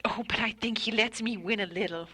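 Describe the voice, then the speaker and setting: A woman's voice: medium pitch, brisk, pushed and bright, breathy edge. A woman playing a lovestruck older small-town lady in an audio drama, effusive, delighted and dramatic. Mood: smitten, delighted.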